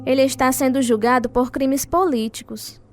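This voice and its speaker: A woman's voice: sits high, fairly even intonation, moderate pace, dry recording, close to an everyday voice. A woman acting an earnest, devout wife and mother of a prisoner in a radio drama. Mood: anguished. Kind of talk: radio drama narration and dialogue. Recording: music playing behind the voice.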